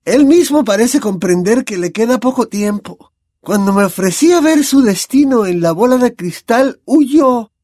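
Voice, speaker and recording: A dreamy, high-voiced prophetess, put on by a male narrator, sounding distant and theatrical. Her pitch swoops all over the place, and the recording is close and dry. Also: big pitch swings, moderate pace, darkish tone, no room sound, full character voice. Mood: lofty. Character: dreamy eccentric female seer, older.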